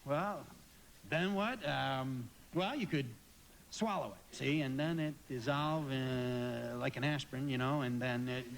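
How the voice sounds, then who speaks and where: A man's voice: medium pitch, measured pace, creaky, poor audio. An actor playing an earnest, folksy young small-town man in a live radio play, stammering and rambling as he goes. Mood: dreamy, eager. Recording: live stage recording with background noise.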